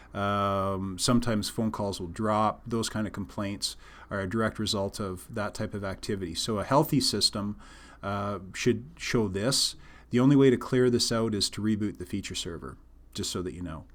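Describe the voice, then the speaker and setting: A man explaining a technical system as a trainer, in an unscripted, halting instructional style. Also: lowish voice.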